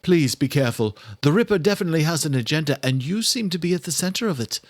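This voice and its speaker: An earnest scientist and doctor performed by a male narrator, with a raised, lively pitch and dry sound. Worried but loyal, the audiobook figure explains things carefully. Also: fast talker, dry recording, lightly acted. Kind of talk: horror thriller audiobook character.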